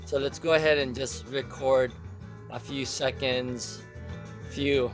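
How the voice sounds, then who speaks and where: A man hosting a casual how-to demonstration in a halting way, thinking aloud as he goes. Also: mid-range voice, fairly even intonation, soft background music. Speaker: video tutorial host.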